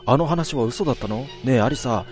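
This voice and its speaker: A man's voice: mid-range voice, lively intonation, a little fast, darkish tone, faint music behind, close to an everyday voice. A man voicing a flustered young friend who stammers in shock. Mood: confused. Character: startled young friend, panicky.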